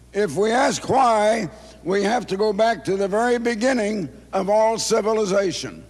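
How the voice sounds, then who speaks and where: A hoarse man in his eighties giving a formal public address, slow and deliberate, repeating phrases for emphasis. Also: high voice. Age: 80s. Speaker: speaker addressing an audience. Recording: long reverb of a large hall.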